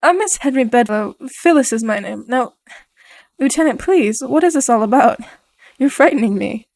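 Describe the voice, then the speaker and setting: A woman's voice: a little high, animated, normal speed, a little mellow. A woman playing an anxious, polite wife in her thirties in 1940s radio melodrama style, fretful and pleading. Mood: uneasy.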